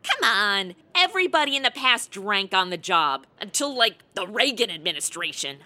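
A woman's voice: mid-range voice, very animated, moderate pace, sharp tone. A woman acting a sardonic, irritable, hard-drinking publicist in a comic radio play, with slangy, sarcastic delivery. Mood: wry, jaded.

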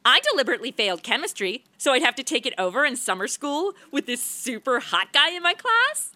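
A woman playing a brash, shameless, sarcastic woman who wisecracks deadpan in a comic radio play. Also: high-pitched, a little fast, no room sound, heavily acted. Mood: sardonic. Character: brash, shameless, self-absorbed sarcastic woman, Miss Standish.

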